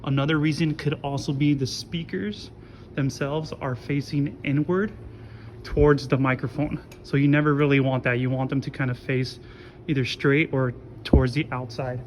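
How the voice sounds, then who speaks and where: A man's voice: medium pitch, moderate pace. A young man giving a casual hands-on tutorial in an informal, reassuring, plain-spoken way, recorded on a phone in a large indoor hall. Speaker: instructor. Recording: steady background noise, room ambience.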